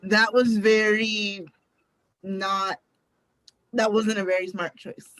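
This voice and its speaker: A woman's voice: medium pitch, flat delivery, slow, a bit bright, dry recording, poor audio. A woman in her twenties from Connecticut, a youth advisory board member speaking hesitantly and reflectively in a casual panel chat. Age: 20s. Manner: hesitant, reflective, many ums.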